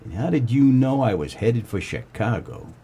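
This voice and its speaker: A man playing a wisecracking, deadpan 1940s private detective in a comedic noir radio play, with terse, slangy tough-guy delivery and a husky voice. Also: mid-range voice, normal speed. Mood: smug, accusing. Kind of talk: comedic noir radio play, first-person narration and dialogue.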